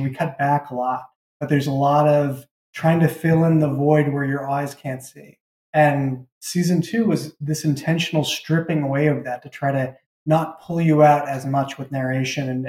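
Mellow man in an echoey room. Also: on the high side. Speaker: writer and audio drama creator. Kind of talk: casual interview talk. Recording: roomy, echoey sound.

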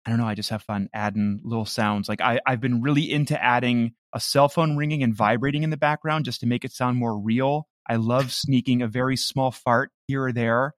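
A man's voice: medium pitch, steady tone, a little fast, hardly any pauses, no room sound. Male interview guest, mid-range voice, informal and playful, dry recording. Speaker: audio comedy creator, interview guest. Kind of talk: casual interview chat.

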